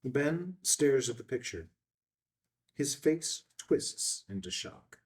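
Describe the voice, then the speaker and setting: A man's voice: medium pitch, lively intonation, takes it slowly. A man reading a film script's stage directions as narrator, in a matter-of-fact way.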